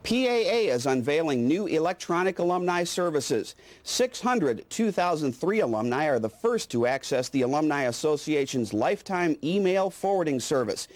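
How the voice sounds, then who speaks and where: A man's voice: on the high side, sing-song, normal speed, few pauses, dry recording. A man reading scripted newsreel narration as the narrator.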